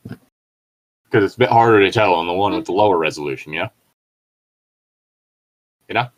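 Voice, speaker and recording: A man's voice: deep voice, normal speed, highs cut off. A man hosting a casual fanfiction reading, commenting with incredulous, sweary asides. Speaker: host.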